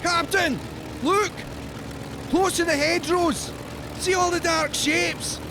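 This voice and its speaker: Excited airman lookout, radio play, very high voice, engine drone behind. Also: slow pace, exaggerated voice. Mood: excited, urgent. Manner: urgent, exclaiming. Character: excited young military airman spotting the enemy. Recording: loud aircraft engine noise.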